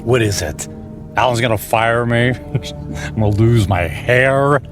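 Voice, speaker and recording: An actor playing a brash, skeptical workaholic in a radio drama, with a wry, flippant way of talking. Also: male voice, mid-range voice, slow pace, lightly acted. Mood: mocking, impatient. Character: skeptical, sarcastic workaholic man, brash and mocking. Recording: music behind the voice.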